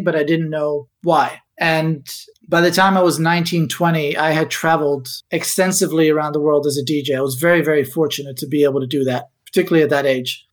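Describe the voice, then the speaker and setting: A middle-aged man, born in the Netherlands and raised in Spain, telling his background as a guest on a podcast in a relaxed, reflective way. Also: fairly high pitch. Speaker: guest, musicologist. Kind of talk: podcast interview.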